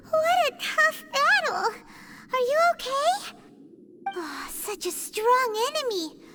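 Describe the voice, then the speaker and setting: A woman voicing a tiny excitable female floating companion creature in a video game cutscene, chattering and quick to panic. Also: very high voice, loud music behind the voice, full character voice. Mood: relieved.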